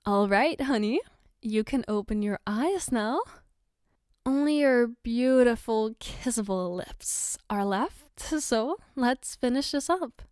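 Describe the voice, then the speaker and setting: A woman playing an affectionate, gently teasing girlfriend in a soft-spoken ASMR roleplay, tender and reassuring. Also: fairly high pitch, lively intonation, clear, resonant tone, no room sound, clean studio sound. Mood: gentle, attentive. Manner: tender, reassuring, playfully coaxing, unhurried. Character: affectionate, gently teasing girlfriend doing her partner's makeup.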